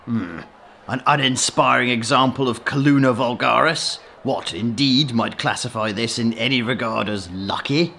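A pedantic, disdainful Victorian gentleman in an audio drama. He is formal and pompous, with a bright, gravelly edge over faint ambience. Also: medium pitch, normal speed, slight background noise.

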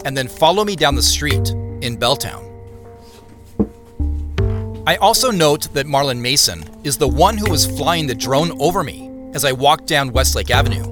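An American actor playing a reflective amateur investigator, narrating an audio drama in a measured, conversational way. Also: male voice, mid-range voice, close to an everyday voice. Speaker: narrator and lead character.

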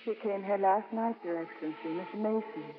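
An actress playing an earnest, pious, gentle young nun in 1950s radio drama style. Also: female voice, medium pitch, brisk, close to an everyday voice. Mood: pleading, reverent. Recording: noisy, narrow-band 1950s radio broadcast.

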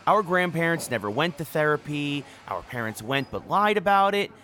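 A man's voice: a little high, lively intonation, moderate pace, pushed and bright. An actor playing a friendly young male teaching assistant with a light, chatty, teasing way, in a naturalistic audio drama. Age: young adult.

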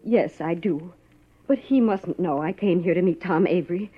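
A woman's voice: lowish voice, normal speed, slightly rough. An actress playing an anxious, guarded married woman in a 1950s radio detective drama, speaking formally and dramatically. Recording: muffled old radio recording.

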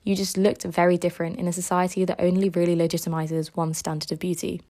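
A woman's voice: fairly low pitch, little pitch movement, fast talker, mellow. A young woman hosting a podcast, reading a scripted personal essay in an earnest tone. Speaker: podcast host.

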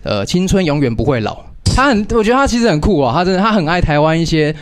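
A man's voice: a little high, big pitch swings, fast talker, clean tone, dry recording. A man with a Taiwanese Mandarin accent hosting a casual radio show, chatty but hesitant, often repeating himself. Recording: music playing behind the voice. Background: music.

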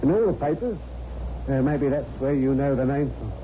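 An actor playing a friendly, obliging station master at a lonely railway halt in a 1960s radio suspense drama, on an old radio recording. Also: male voice, mid-range voice, lively intonation, moderate pace, warm tone, dull audio, a little hiss or hum, natural voice. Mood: chatty, matter-of-fact.

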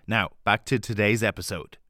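A man's voice: on the low side, steady tone, moderate pace, pushed and bright, no echo, clean studio sound. A man hosting a podcast, reading a scripted announcement to listeners. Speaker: podcast host.

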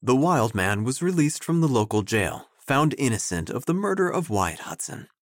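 An actor playing an earnest, wordy obituary writer who narrates an audio drama in a deliberate, deadpan way. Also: male voice, mid-range voice, dry recording, studio quality, lightly acted. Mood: deadpan, florid. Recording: faint music behind.

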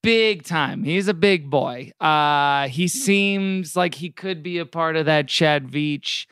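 A man's voice: a little high, takes it slowly, fairly bright tone, clear, resonant tone, no echo, clean studio sound. A man co-hosting a podcast in a casual, irreverent, rambling style of joking banter. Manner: irreverent, mock-reverent, rambling. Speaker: podcast co-host.

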